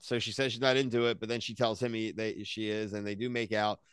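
Casual American male co-host, 30s, smooth lowish voice, dry close sound, slangy and opinionated. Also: level tone, quick pace, fairly bright tone, dry recording. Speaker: podcast co-host. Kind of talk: casual TV recap chat. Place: small home room, on a video call.

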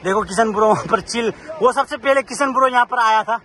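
A man's voice: very high voice, a little fast, sharp tone, slight background noise. A young man in his twenties chatting casually into his phone camera, narrating as he climbs a rocky hilltop outdoors. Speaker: vlogger.

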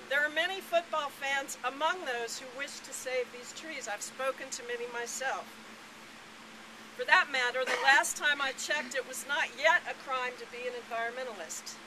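An older woman reading a prepared speech at an outdoor rally in an earnest, persuasive way. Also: high voice. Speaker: rally speaker. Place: outdoors on a campus lawn. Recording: clear outdoor ambient noise, traffic.

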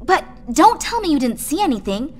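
A woman's voice: high-pitched, big pitch swings, fairly quick, slight background noise. A young woman with an American accent acting a bossy, anxious teenage schoolgirl in a horror video game. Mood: anxious, insistent. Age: young adult. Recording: background music under dialogue.

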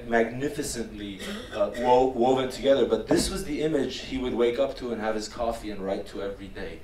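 A man's voice: medium pitch, a little mellow. Roomy, distant lecture by an earnest man. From Armenia. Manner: earnest, emphatic, with repeated lists of words. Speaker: filmmaker giving a lecture. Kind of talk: public lecture with slides. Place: wood-panelled lecture room, indoors. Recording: roomy hall, distant mic, faint noise.

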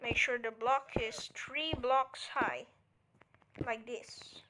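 A woman talks a viewer through a building tutorial at an unhurried pace. Her voice sits on the high side, and she counts out each item as she places it. A faint hiss of noise runs under the recording. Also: steady tone. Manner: counts steps aloud, checks in with "alright?". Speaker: tutorial creator. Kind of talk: casual game tutorial walkthrough.

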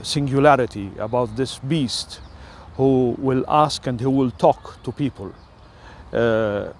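Older Italian-accented man, halting delivery. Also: medium pitch, darkish tone. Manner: halting, many filler sounds. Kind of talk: rambling personal monologue.

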